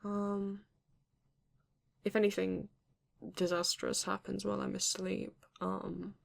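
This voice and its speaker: Woman voicing a bashful castle vampire, very heavy vocal fry, unhurried, halting, formal, intimate ASMR roleplay. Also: mid-range voice, flat delivery. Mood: hospitable, bashful. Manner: hesitant, halting, formal yet endearing. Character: courteous, bashful vampire living alone in a castle.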